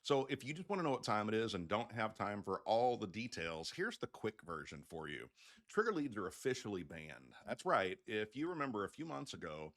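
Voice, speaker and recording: A man's voice: fairly low pitch, fairly bright tone. A man presenting an explainer to camera in a casual, conversational way with folksy phrasing, like a loan officer updating clients. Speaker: mortgage loan officer hosting an update video.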